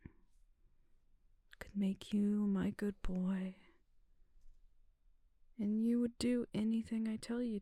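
A woman performing an ASMR roleplay in a soft, teasing, drawn-out whisper, close to the mic. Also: mid-range voice, gravelly, dry recording.

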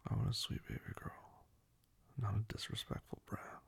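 A man acting as a dominant male lover with a slow, low murmur, thick with gravelly fry. He is teasing and commanding in an intimate roleplay style, his voice very breathy and often close to a whisper. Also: expressive, warm tone, heavily acted. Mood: hushed, intimate. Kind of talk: intimate ASMR audio roleplay for adults.

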